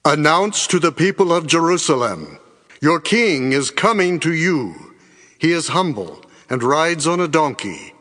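Echoing high-voiced ancient prophet. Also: male voice, very animated, a little slow, a bit bright. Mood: exalted proclamation. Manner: proclaiming. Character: male ancient prophet proclaiming to a city. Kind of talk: dramatized Bible audio. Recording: long cavernous reverb.

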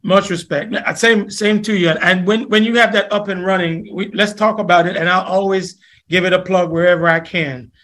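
Jamaican guy hosting his podcast, kinda high voice, laughy, says things twice. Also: steady tone, normal speed. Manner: warm, laughs often, repeats phrases. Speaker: podcast host. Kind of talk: casual interview chat.

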